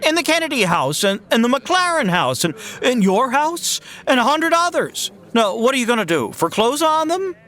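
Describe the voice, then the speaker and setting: An actor playing an earnest, hot-headed young small-town man with a halting, folksy delivery in an old-time radio drama. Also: very high voice, big pitch swings, pushed and bright, exaggerated voice. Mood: urgent.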